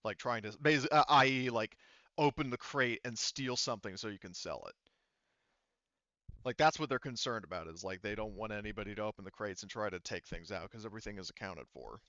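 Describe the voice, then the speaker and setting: Bright, clear-voiced man, dry sound. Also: fairly low pitch, a little fast, no echo, muffled recording. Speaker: game master. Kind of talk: running a tabletop roleplaying game, casual explaining.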